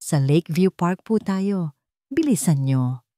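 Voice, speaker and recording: A determined woman in a narrated drama, acted by a female storyteller, keeping herself steady against fear. Her voice is mellow and recorded very dry. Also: fairly low pitch, slow, no room sound. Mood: firm, urgent.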